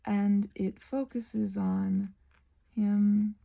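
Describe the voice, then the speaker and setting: Soft, mellow whispery woman rambling. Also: medium pitch, flat delivery, extremely slow, vocal fry. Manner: soft-spoken, often dropping into whispers. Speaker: ASMR creator rambling while painting a craft project. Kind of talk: ASMR rambling casual chat.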